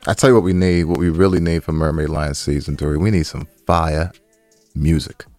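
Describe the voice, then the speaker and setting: A man's voice: extremely low pitch, steady tone, normal speed, darkish tone, dry recording. A man co-hosting a podcast in casual, slangy talk, repeating phrases for emphasis, with music behind him. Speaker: podcast co-host.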